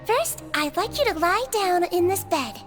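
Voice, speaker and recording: A very high, sweet little nurse creature, soothing and patient with everyone she treats. Her voice swoops lightly up and down, soft and kindly, as game dialogue with music underneath. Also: animated, a little slow, heavily acted. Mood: gentle. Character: gentle small girl-like nurse creature, caring. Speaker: head nurse. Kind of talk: game voice acting.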